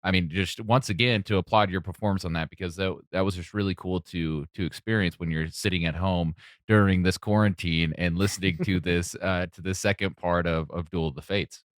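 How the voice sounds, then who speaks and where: A man with a fairly low voice co-hosts in a dry, close recording. His casual interview talk is earnest and appreciative. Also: fairly even intonation, fairly quick, dry recording. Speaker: podcast co-host.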